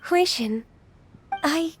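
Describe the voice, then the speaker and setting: A woman voicing a gentle, dutiful secretary in an anime video game, speaking politely and earnestly over music. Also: very high-pitched, lively intonation, very slow, a little hiss or hum, exaggerated voice. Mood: moved, earnest. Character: gentle, dutiful, ageless half-immortal female secretary. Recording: background music under the voice.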